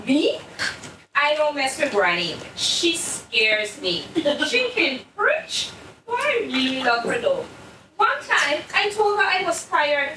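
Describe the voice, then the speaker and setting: A woman's voice: high voice, full character voice. A woman playing an outspoken, teasing Caribbean friend who tells stories expressively in a stage play. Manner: expressive, teasing, drawn-out delivery. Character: playful, outspoken Caribbean girlfriend, teasing storyteller. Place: indoor stage, mic far from actors. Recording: roomy, distant stage recording with noise.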